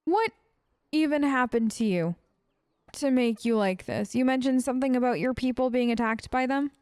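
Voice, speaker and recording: A woman doing in-character commentary on a role-playing game, chatty and expressive in the way she reacts. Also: high voice, moderate pace, clean tone, no room sound, studio quality. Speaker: gamer, let's player.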